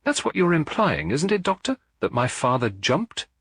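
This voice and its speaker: A man's voice: a little high, sing-song, normal speed, fairly bright tone, breathy edge, no echo, studio quality, pitched above the performer's own voice, full character voice. A man voicing a bitter, confrontational son in a story, cutting and accusatory. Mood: accusing.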